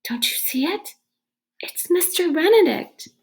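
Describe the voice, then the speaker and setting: A woman voicing an earnest, commanding eleven-year-old boy in a storybook, urgent and forceful. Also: very high voice, animated, measured pace, voice pushed up, heavily acted. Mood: urgent pleading.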